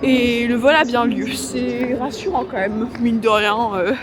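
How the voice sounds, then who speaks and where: A woman's voice: a little high, steady tone, unhurried. A young woman travel vlogger chatting casually to camera in an airport, joking and slangy, tired from the trip, with lots of fillers. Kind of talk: casual vlog chat to camera. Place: airport, noisy public areas. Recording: noisy ambience behind.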